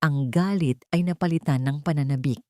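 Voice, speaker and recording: A woman's voice: low voice, normal speed, warm tone, dry recording, studio quality. A woman reading a dramatic scripted story as an audiobook narrator.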